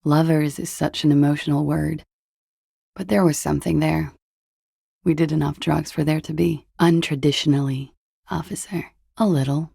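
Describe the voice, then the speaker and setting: An actress playing a jaded, hard-partying young disco dancer in a video game, husky and languid, with a guarded, deadpan delivery. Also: female voice, sits low, moderate pace, no echo, clean studio sound, heavily acted. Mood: wry, languid. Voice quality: husky, gravelly with vocal fry. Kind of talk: video game voice acting, intimate dialogue.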